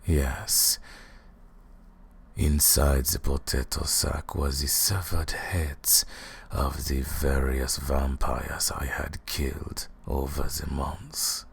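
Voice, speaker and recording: A man playing a menacing, arrogant vampire lord in an ASMR fantasy roleplay, speaking in a slow, deliberate, condescending way with a gravelly, breathy voice. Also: little pitch movement, heavily acted. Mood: cold, commanding. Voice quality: very deep, gravelly, husky, breathy. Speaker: audio roleplay performer.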